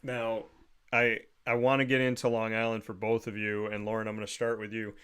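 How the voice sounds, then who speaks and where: A man's voice: on the low side, flat delivery, a little fast, fairly bright tone, clear, resonant tone. A man hosting an interview, asking questions in a friendly, conversational way. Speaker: host.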